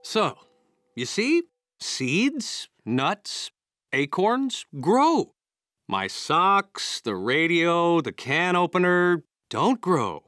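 A man's voice: on the high side, sing-song, extremely slow, dry recording, studio quality, full character voice. A patient, kindly cartoon man. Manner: patient, explaining gently. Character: kind adult man, patient caretaker of a monkey.

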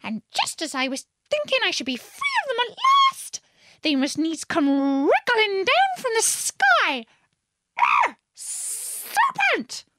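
Shrill, scolding storybook pigeon. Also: female voice, wildly swooping pitch, slow pace, a bit bright, a little hiss or hum, heavily acted. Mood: shrieking outrage. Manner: indignant, exclamatory, complaining. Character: agitated, shrill, scolding female pigeon, harried and suspicious. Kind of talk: storybook character reading.

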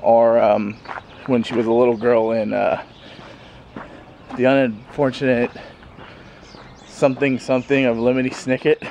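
A man's voice: medium pitch, level tone, measured pace, gravelly, pauses often, a little mellow. A man in his thirties casually rambling to a handheld camera in a sunny backyard, with lots of fillers and chuckles. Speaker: vlogger. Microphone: handheld camera at arm's length. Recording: faint outdoor background noise.

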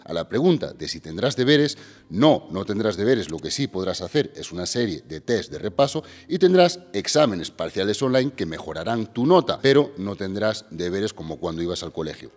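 A man in his thirties hosting, speaking straight to viewers with energy, holding a handheld mic in a large gym hall. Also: fairly low pitch. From Galicia. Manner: energetic, direct address to viewers. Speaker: host. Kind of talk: presenter pitch and interview. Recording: reverberant hall with background music.

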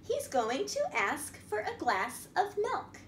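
A woman with a very high, swooping voice reads a picture book to young children. She takes her time, in the sing-song manner of a library storytime host. The room around her is echoey. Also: big pitch swings, slow pace. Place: indoor room at a wooden table by a window. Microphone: camera across the table. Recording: echoey room with background noise.

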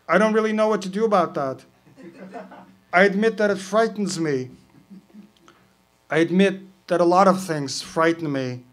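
A man voicing a verbose, brooding male pirate who declaims long winding sentences loudly. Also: sits high, pitched above the performer's own voice, natural voice.

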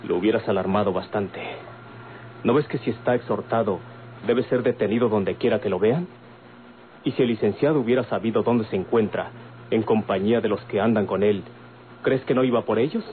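On a tinny, faintly noisy old radio recording, an actor plays a careful Mexican man. His low voice reasons calmly and cautiously in radionovela style. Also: a little fast, natural voice. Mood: cautious, explaining. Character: composed, cautious Mexican man advising confidentially. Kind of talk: old-time radionovela acting.

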